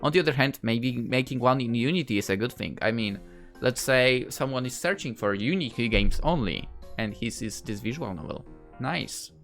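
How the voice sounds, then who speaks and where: Animated, rambling male gamer. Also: mid-range voice, moderate pace, faint music behind. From Poland. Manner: rambling, thinking aloud. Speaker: gaming YouTuber. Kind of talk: casual let's play commentary.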